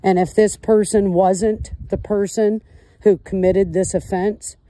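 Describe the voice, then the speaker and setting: A woman caller on a recorded call, recounting events to law enforcement step by step in a deliberate, emphatic manner. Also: mid-range voice, fairly even intonation, dark tone, dry recording.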